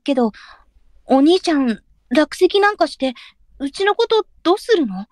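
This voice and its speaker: A woman playing a hesitant, soft-spoken nineteen-year-old courtesan in a Japanese period audio drama. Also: high voice, big pitch swings, normal speed, dry recording, studio quality. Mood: hesitant, sad.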